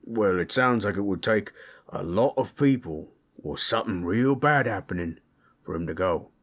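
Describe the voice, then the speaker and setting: Man voicing a deep, husky, gentle orc. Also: lively intonation, normal speed. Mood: soothing, reassuring. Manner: folksy, nonstandard grammar, reassuring murmur. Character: big gentle male orc ex-war boss, soothing masseur. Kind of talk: intimate audio roleplay to the listener.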